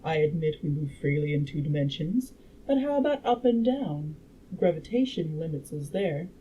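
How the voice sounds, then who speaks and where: Lady doing a victorian gentleman scientist from a novel, sure of himself, explaining stuff, soft dark tone. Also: low-pitched, lively intonation, moderate pace, muffled recording. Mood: challenging. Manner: didactic, assured. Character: confident Victorian gentleman inventor lecturing on his theory. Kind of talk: audiobook-style character reading. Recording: noisy room background.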